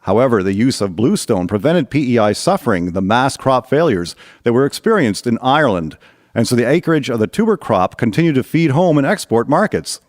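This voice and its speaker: A man's voice: medium pitch, sing-song, a little fast, dry recording, studio quality. A man narrating a scripted historical radio drama in a storytelling style.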